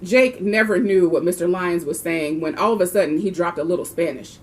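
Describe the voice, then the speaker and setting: A woman's voice: lowish voice, a little fast, low-quality recording. A woman reading a children's book aloud expressively, with the occasional small stumble. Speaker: volunteer reader of a children's book. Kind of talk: storybook read-aloud.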